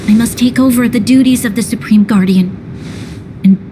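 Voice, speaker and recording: An actress playing a grave, dutiful young woman leader in a video game cutscene, speaking in a solemn, formal, measured way. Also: a little high, mellow, slight background noise, lightly acted. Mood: solemn, determined. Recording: orchestral music behind the voice.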